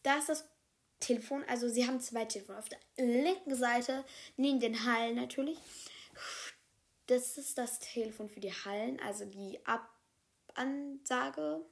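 A woman's voice: a little high, moderate pace, vocal fry. A woman playing a chatty office worker who shows a new secretary around, rambling and correcting herself as she goes. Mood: friendly. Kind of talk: improvised solo role-play.

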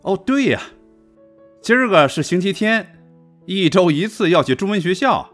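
A man's voice: high-pitched, sing-song, normal speed, fairly bright tone. A man playing a good-natured, grumbling middle-aged professor father in a radio drama, colloquial and exclamatory. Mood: eager. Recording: music under the voice.

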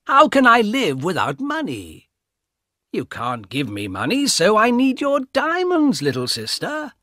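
A cold, greedy young man, voiced by a male narrator, drawling his words slowly and mockingly. He sounds smug and sure of himself throughout. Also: sits high, huge pitch swings, bright, forceful tone, no room sound, clean studio sound, voice pushed up, heavily acted. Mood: taunting. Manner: slow and taunting.

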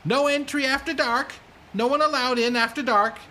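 A man's voice: extremely high pitch, fairly even intonation, moderate pace, sharp tone, slight background noise, voice pushed up. A man voicing a nervous teenage boy guarding a town gate, stammering and flustered.

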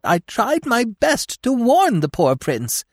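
A man voicing an excitable, self-important male squirrel with a fretful, protesting manner in a storybook. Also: high voice, moderate pace, slightly rough, dry recording, clean studio sound, voice pushed up, full character voice. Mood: pettish. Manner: pettish, protesting, lamenting.

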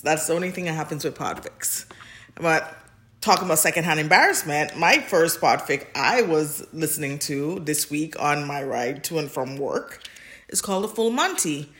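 Low-voiced woman chatting casually on a podcast. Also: fairly bright tone. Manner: giggly, informal, rambling. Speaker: podcast co-host. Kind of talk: casual chat, recapping fan fiction.

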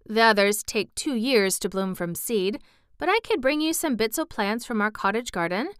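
A woman's voice: fairly high pitch, animated, normal speed, fairly bright tone, clear, resonant tone, dry recording, studio quality. A woman voicing a cheerful, hardy twelve-year-old country boy who loves nature, speaking hearty, earnest Yorkshire dialect in a storybook reading. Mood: informative.

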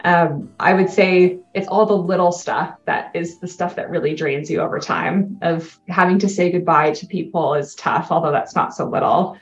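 A Canadian woman with a lowish voice chats easily as an interview guest, upbeat and quick to laugh. Her voice comes through a dull, muffled line in an echoey room. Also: fairly even intonation. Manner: upbeat, laughs often, chatty. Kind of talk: casual interview chat.

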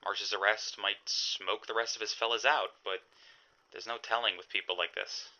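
A man playing a gruff, skeptical 1920s police detective in an audio drama, clipped and dismissive. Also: fairly low pitch, steady tone, moderate pace, pushed and bright, highs cut off. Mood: guarded, skeptical.

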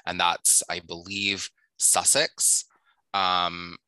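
Deep-voiced male co-host, hesitant and searching for words; sharp, bright tone, dry sound. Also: level tone, very slow, clear, resonant tone, no room sound, poor audio. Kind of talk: casual conversation.

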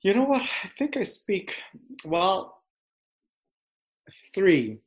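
A man from western Canada, a podcast guest, answering in casual talk with short replies and halting restarts. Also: sits high, big pitch swings.

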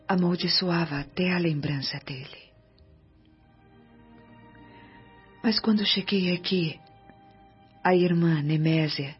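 A frail, suffering woman spirit in a Brazilian radio drama. She speaks very slowly and tenderly, breathy and often whispering. The recording is dull, with soft music behind her. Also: deep voice, heavily acted. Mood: pained, remorseful. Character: suffering, disfigured woman spirit, humble and grateful.

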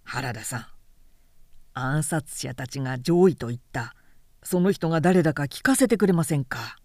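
A woman voicing an outspoken, indignant samurai man in a period-novel audiobook, forceful and insistent in formal speech. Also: low voice, expressive, normal speed, dry recording, clean studio sound, pitched below the performer's own voice. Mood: bitterly indignant.

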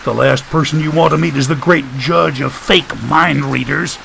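A man's voice: a little high, steady tone, moderate pace, creaky, a bit bright, slight background noise. A man voicing a folksy, preachy wisecracking man who talks in country grammar and sermonizing quips. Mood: teasing.

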